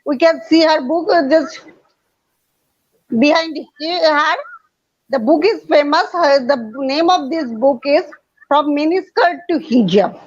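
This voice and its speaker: A woman hosts an interview with a high voice and a slow, careful delivery. Her phrasing is formal and halting, and the audio sounds dull and muffled. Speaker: host. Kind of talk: interview hosting.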